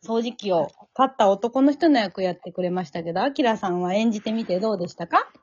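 A woman hosting a casual after-show chat in an animated, encouraging way. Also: medium pitch, fast talker, husky. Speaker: host of amateur drama troupe's after-talk. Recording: dull lo-fi audio.

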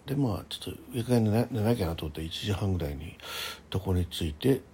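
A man, probably middle-aged, chatting casually by himself as a radio host, halting and thinking aloud in short polite phrases. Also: low voice, expressive, warm tone, slight background noise. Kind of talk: casual solo chat about daily life.

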